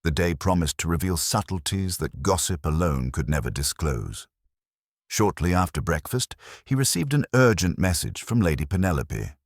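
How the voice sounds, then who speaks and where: A man narrating a scripted mystery story in a measured, formal manner, as in an audiobook. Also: low-pitched, very animated, no room sound, clean studio sound.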